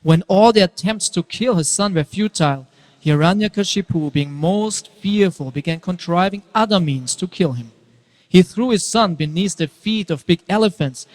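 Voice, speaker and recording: A long reverb follows this male narrator's somewhat high voice. He reads a scripted sacred story aloud, shaping each phrase with deliberate, storytelling emphasis. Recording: reverberant hall.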